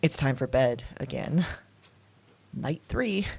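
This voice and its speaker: An actress playing a shaken, anxious woman alone, confiding haltingly into a night journal in an audio drama. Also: a bass voice, expressive, gravelly, a little mellow, breathy edge, full character voice. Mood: uneasy, awed. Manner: hesitant, confiding, halting self-talk. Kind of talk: intimate audio drama monologue. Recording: music under the voice. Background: music.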